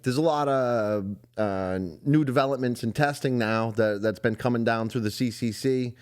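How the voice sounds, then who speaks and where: A man's voice: mid-range voice, normal speed, darkish tone, clear, resonant tone. A man hosting a casual podcast, talking in a loose, rambling way. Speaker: podcast host. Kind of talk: casual chat.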